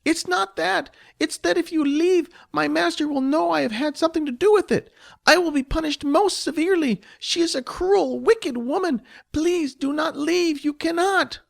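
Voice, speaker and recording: A man's voice: extremely high pitch, normal speed, gravelly, pitched above the performer's own voice. A man voicing a timid, fearful little female goblin servant who pleads in formal, old-fashioned speech. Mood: pleading. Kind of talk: audiobook character voice.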